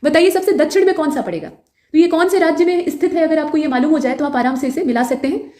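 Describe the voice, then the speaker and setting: A woman's voice: sits high, fast talker, mellow. A woman teaching exam questions in an explanatory, instructional manner, mixing in English terms, with a roomy echo. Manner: instructional, explanatory, repeats points in English and Hindi. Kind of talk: quiz lesson with explanations.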